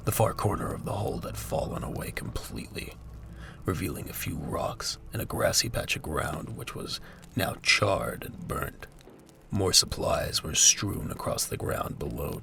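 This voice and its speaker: A man's voice: extremely low pitch, vocal fry, noisy background. A man reading scripted, dramatic narration for an audio drama.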